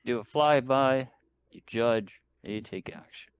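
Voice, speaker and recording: A man's voice: mid-range voice, unhurried. A man talking casually as a player in a tabletop roleplaying game discussion.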